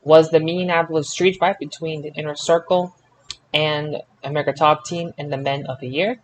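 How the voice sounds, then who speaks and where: Uomo dal tono acuto, registrazione rumorosa. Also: male voice, fairly high pitch, fairly even intonation, normal speed, poor audio. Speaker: podcast host. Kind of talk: casual wrestling results discussion. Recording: clear background noise.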